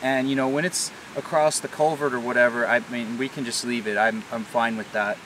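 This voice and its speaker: A man's voice: mid-range voice, steady tone, a little fast, fairly bright tone, rough recording. A man in his thirties talking informally and plainly, explaining things like a farmer hosting a casual vlog. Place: outdoors on a farm. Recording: clear background noise, hiss.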